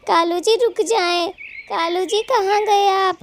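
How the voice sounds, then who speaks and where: A woman's voice: extremely high pitch, steady tone, slow, slight background noise, full character voice. A woman voicing a greedy, lazy female thief bird in a children's cartoon, coy and melodramatic. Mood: panicked, pleading. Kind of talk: children's cartoon story acting.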